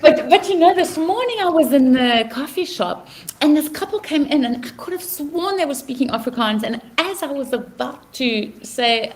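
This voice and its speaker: A woman's voice: sits high, lively intonation, poor audio. A South African woman co-hosting a podcast in casual chat, laughing easily and restarting her sentences. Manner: chatty, quick laughs, many restarts. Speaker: podcast co-host. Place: lives abroad on the Riviera.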